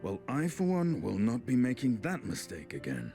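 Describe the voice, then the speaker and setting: A man's voice: medium pitch, wildly swooping pitch, normal speed, warm tone, soft background music. A man playing a blunt, teasing, battle-hardened knight in a fantasy game. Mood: wry.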